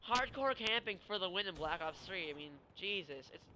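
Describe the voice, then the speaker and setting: A man's voice: high-pitched, lively intonation, normal speed, sharp tone, a little hiss or hum, rough recording. A young man, chatting and telling stories casually over gameplay in a rambling, informal way. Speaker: gaming video creator.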